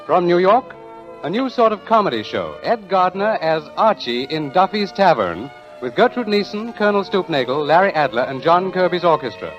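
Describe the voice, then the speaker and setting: Man, formal 1940s radio announcer, animated highish voice, dull vintage sound. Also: normal speed. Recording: muffled vintage radio recording, music behind.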